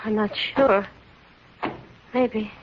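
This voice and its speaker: A woman's voice: medium pitch, fairly even intonation, extremely slow. An actress playing an anxious, pleading young woman held captive in a 1940s radio drama. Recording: muffled hissy 1940s radio recording.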